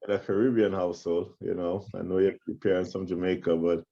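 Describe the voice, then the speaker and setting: A man's voice: low-pitched, flat delivery, fairly quick, mellow. A man from Trinidad hosting an interview, talking in a conversational, affirming way and often repeating words as he thinks. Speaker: podcast host. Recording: dull lo-fi audio.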